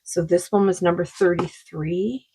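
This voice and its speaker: A woman with a sore throat giving a rambling, casual oracle card reading, full of fillers and slow read-aloud passages. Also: on the low side, fairly even intonation, dark tone, a little hiss or hum, low-quality recording. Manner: rambling, many fillers, reads card texts aloud slowly. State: sore throat, losing her voice.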